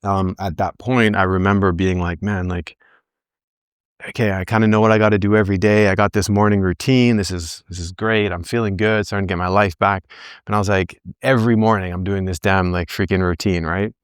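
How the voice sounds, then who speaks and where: Man, early forties, deep voice, dry close sound, informal motivational coach, conversational delivery. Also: fairly even intonation, fairly quick, clear, resonant tone, no room sound. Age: early 40s. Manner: conversational, acts out his own thoughts as quotes, often tags points with "right?". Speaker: back pain coach leading a group session. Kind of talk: informal motivational talk to a group.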